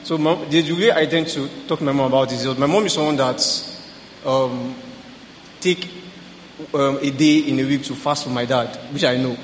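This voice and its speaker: A young man sharing about his family in a relaxed, halting way with fillers. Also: mid-range voice, a little hiss or hum. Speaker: panel participant. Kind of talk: casual sharing. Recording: echoey hall with faint ambience.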